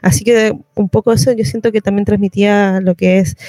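A Chilean radio host with a dark, relaxed tone and medium pitch. The talk is casual and reflective, sprinkled with slang. The sound is close and dry. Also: brisk, no echo. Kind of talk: casual reflective interview chat.

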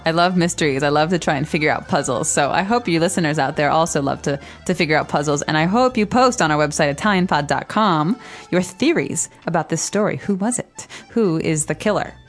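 A woman's voice: sits low, expressive, brisk, few pauses, soft background music. A woman hosting a language lesson in casual, playful chat, teasing her co-host.

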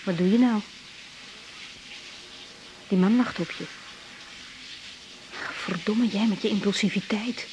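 An actress playing a young woman doctor in a 1980s radio drama, gentle and matter-of-fact in manner. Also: mid-range voice, takes it slowly, long pauses, noisy background, close to an everyday voice. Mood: troubled. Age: young adult. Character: young woman doctor, tender lover in private, patient at work.

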